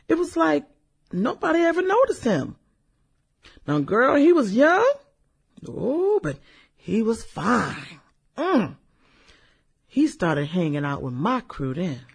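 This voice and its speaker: A woman's voice: on the high side, wildly swooping pitch, slow pace, gravelly, pauses often, husky, full character voice. An actress playing a brash, fun-loving middle-aged former popular girl in a radio drama, sassy and chatty, drawing out her exclamations and hums. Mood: gleeful nostalgia. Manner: sassy, drawling exclamations and hums, girlfriend-to-girlfriend banter. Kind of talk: satirical radio drama acting.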